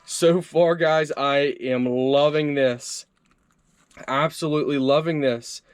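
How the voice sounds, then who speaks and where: A man's voice: fairly high pitch, fairly even intonation, slow pace, smooth, no echo. A man doing a casual, upbeat hands-on product review, chatting to his viewers as he tries things out.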